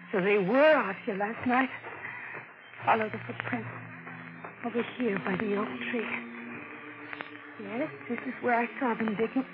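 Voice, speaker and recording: An actress playing a frightened, distraught wife in a 1940s radio drama, with a halting, breathless, stammering delivery. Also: female voice, medium pitch, close to an everyday voice. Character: frightened, distraught young wife suspecting murder. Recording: noisy, muffled vintage radio recording.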